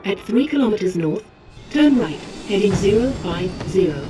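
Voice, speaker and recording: A woman's voice: medium pitch, expressive, moderate pace, dark tone, slight background noise, lightly acted. An actress voicing a composed female military AI in a video game, giving precise, matter-of-fact briefings. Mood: calm, informative. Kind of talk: video game cutscene acting. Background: game music.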